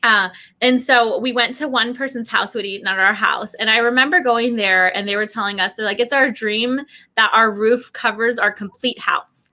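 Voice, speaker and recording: Bright, high-voiced woman chatting. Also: normal speed. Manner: animated, chatty, acts out quoted remarks. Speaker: guest sharing family travel experiences. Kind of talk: casual conversational storytelling.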